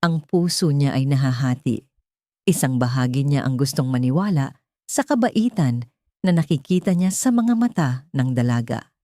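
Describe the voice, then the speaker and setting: A woman's voice: a bass voice, expressive, moderate pace, warm tone, no echo, clean studio sound. A woman reading a scripted dramatic story as its narrator.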